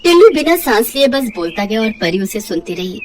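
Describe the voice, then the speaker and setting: A woman's voice: a little high, sing-song, brisk, a little hiss or hum. A woman telling a moral bedtime story in scripted narration.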